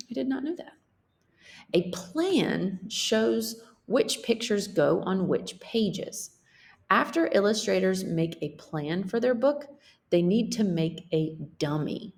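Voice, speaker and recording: A woman's voice: mid-range voice, gravelly, darkish tone. A woman teacher reading a picture book aloud as a lesson for children, explaining things simply and checking in with questions.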